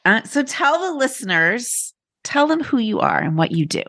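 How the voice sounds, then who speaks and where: A woman's voice: a little high, big pitch swings, moderate pace, a bit bright, dry recording, studio quality. A woman hosting a podcast, chatting informally in a casual, conversational interview. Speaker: podcast host, coach.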